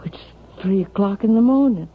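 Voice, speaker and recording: An actress playing an anxious, frightened older mother in a vintage radio drama, pleading and halting as she speaks. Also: medium pitch, lively intonation, a little hiss or hum, close to an everyday voice. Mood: fearful, wary. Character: anxious, frightened older widowed mother, mistrusting her son-in-law. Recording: thin phone-like old radio, music behind.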